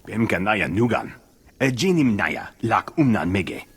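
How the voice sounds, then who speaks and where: An actor voicing a male alien in a video game, speaking an invented tongue in clipped, rough bursts. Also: lowish voice, big pitch swings, measured pace, heavily acted. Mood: businesslike. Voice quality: husky, rough.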